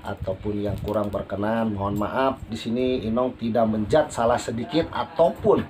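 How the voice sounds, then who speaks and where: A man's voice: on the low side, normal speed, darkish tone. A man hosting a casual podcast interview in a polite manner, recorded as an amateur. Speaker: podcast host and beginner YouTuber. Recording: noisy amateur phone recording.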